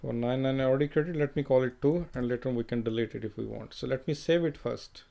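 Male trainer, mid-pitched, casual screen-share walkthrough, thinks aloud with restarts. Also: quick pace, gravelly, dark tone. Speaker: software trainer. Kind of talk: screen-recorded tutorial walkthrough.

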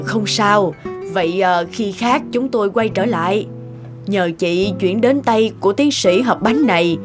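A polite, composed cartoon lady acted by a woman. Her voice is medium in pitch, with music in the background. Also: close to an everyday voice. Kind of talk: animated cartoon dialogue.

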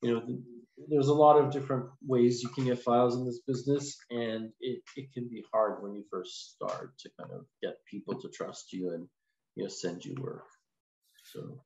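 A man in his forties speaking casually and haltingly as an interview guest over a video call. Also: medium pitch, steady tone, darkish tone. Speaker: podcast guest, lawyer. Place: small indoor room, video call. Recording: echoey, muffled video call with noise.

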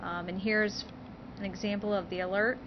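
Young female weather service presenter, halting explainer delivery, dull office audio. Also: medium pitch, little pitch movement. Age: young adult. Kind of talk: informal educational demonstration. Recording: muffled audio with clear background noise.

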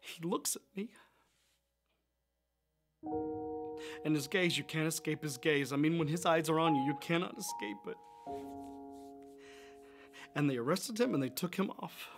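A man's voice: a little high, moderate pace, pauses often, close to an everyday voice. A man playing a remorseful fisherman disciple. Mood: grieving. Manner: confessional, swings from wry to anguished outbursts. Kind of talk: first-person dramatic monologue. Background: instrumental music.

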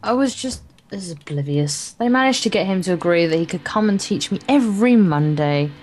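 A woman's voice: on the low side, lively intonation, a little fast, a little mellow. A woman reading visual novel narration aloud as a let's play co-host, stumbling and correcting herself as she goes. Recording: guitar music behind. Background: game's guitar music.

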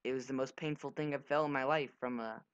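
A man's voice: medium pitch, fairly even intonation, fast talker, clear, resonant tone, no echo, muffled recording. A young teenage boy hosting a gaming video, chatting casually and telling stories over gameplay. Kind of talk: casual gameplay chat and storytelling.

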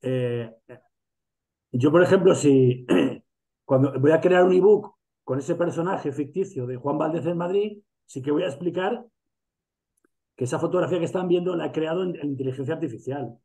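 A man with a Spanish accent from Spain chats over a video call. His voice sits a little high, and he keeps restarting and filling pauses as he thinks aloud. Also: warm tone. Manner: talkative, many restarts and fillers. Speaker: masterclass host. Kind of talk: casual video call discussion.

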